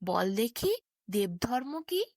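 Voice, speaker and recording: A woman's voice: a little high, sing-song, takes it slowly, a little mellow, no room sound, clean studio sound, heavily acted. A woman voicing a wicked, menacing male water demon in a taunting, commanding way for a bedtime fairy tale. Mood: menacing, challenging.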